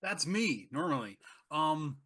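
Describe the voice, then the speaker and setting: A man's voice: on the high side, big pitch swings, slow pace, a bit bright, husky. A man introducing himself casually on a tabletop gaming stream, with a self-deprecating, dryly joking manner. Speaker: game master and player on gaming livestream.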